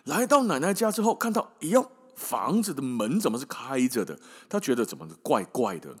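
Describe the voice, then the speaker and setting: Taiwanese man, podcast storyteller, very animated intonation, colloquial, irreverent asides, mid-pitched. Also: fairly quick, slightly rough. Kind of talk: casual fairy-tale storytelling with comic asides.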